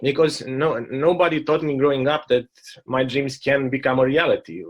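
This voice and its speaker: A man's voice: medium pitch, moderate pace, lo-fi sound. A man with a Romanian accent telling a personal story as an interview guest, earnest and repeating phrases for emphasis.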